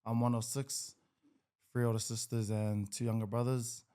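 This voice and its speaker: A man in his twenties with a New Zealand accent, a podcast guest who talks in an easygoing, hesitant way with many pauses. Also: medium pitch, level tone, mellow. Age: 20s. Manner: easygoing, hesitant with many ums, laughs a lot. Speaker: guest, rugby league player. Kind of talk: casual podcast interview.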